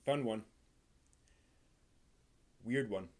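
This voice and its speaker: A man's voice: fairly low pitch, drawn-out words, darkish tone. A man hosting an informal live stream, talking in a casual, rambling way and at times reading aloud. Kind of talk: casual live stream talk about anime with theatrical read-aloud segments.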